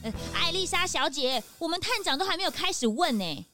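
Woman acting an excitable young sidekick, very high voice, swooping intonation, exclamatory, children's audio drama. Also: brisk, music in the background. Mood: impatient. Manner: exclamatory, butts in. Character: excitable, chatty, impatient young detective's assistant.

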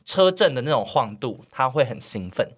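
A man with a Taiwanese accent co-hosting a podcast in casual comedic chat, teasing and animated. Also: mid-range voice, moderate pace. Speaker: podcast co-host.

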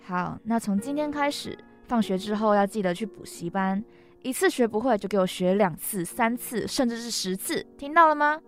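A woman's voice: medium pitch, expressive, normal speed, faint music behind, lightly acted. A woman playing a strict, controlling mother who scolds and commands her schoolboy son in an amateur audio drama. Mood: stern, threatening.

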